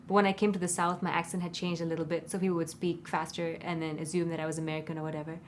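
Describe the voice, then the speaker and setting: A woman's voice: sits low, little pitch movement, very fast, slight background noise. A woman from India, an international student, talking about adjusting to local speech.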